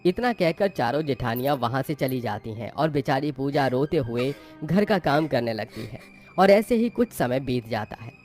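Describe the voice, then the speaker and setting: Male story narrator over soft music. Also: mid-range voice, lively intonation, normal speed.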